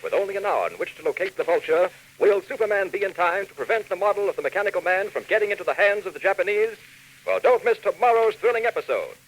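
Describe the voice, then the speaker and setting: A man's voice: high voice, expressive, fast, poor audio. An American man announcing a 1940s radio serial with dramatic, urgent scripted narration.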